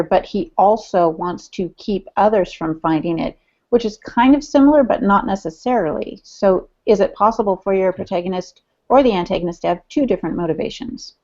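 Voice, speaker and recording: A woman's voice: mid-range voice, brisk, a little mellow. Woman with muffled lo-fi sound. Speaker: podcast co-host asking questions. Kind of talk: casual discussion. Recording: dull lo-fi podcast audio.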